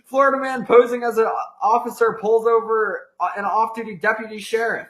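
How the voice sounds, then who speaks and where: A giggly young man co-hosting a goofy podcast, often breaking into laughter mid-line. His voice is notably high for a man. The sound is roomy and echoey. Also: steady tone, fairly quick, a bit bright. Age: young adult. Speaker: podcast co-host. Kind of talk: casual joking chat. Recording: echoey room.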